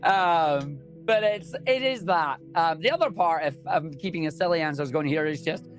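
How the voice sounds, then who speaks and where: Chatty Scottish man, slightly high voice. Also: moderate pace, faint music behind. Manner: enthusiastic, chatty, joking. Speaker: speedrunner guest. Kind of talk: casual interview chat.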